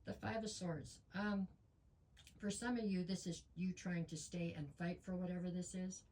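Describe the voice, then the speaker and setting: A woman's voice: fairly low pitch, steady tone, normal speed, darkish tone. A woman reading tarot cards in a chatty way, losing her voice and with a stuffy nose. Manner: chatty, frequent ums, talks straight to viewers. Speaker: tarot reader.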